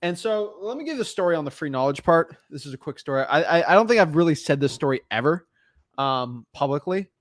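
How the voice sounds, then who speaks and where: High-voiced young man, energetic talk. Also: no echo, studio quality. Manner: energetic, fast-talking, animated storytelling. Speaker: podcast host. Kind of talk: casual chat.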